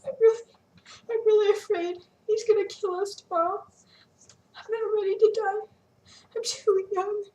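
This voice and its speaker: A woman's voice: high-pitched, a little fast. A woman reading a storybook, voicing a feisty, defiant young girl, with a roomy echo from a distant mic. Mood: frightened. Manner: defiant, yelling.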